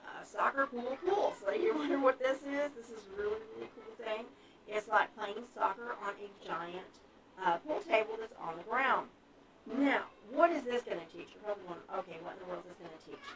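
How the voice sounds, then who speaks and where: A woman's voice: on the high side, brisk. A woman in her fifties from Texas, chatting casually on a livestream about a children's museum, listing points with lots of ums. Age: 50s. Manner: chatty, upbeat, lists points with many ums. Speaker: children's museum staff member. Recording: distant mic with crowd noise. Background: crowd chatter.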